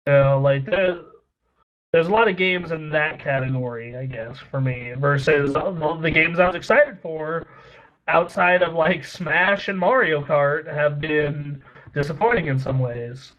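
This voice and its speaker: Fairly high-voiced man, slightly dull audio. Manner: brisk, filler-heavy with many "like"s. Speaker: podcast host. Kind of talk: casual opinionated chat.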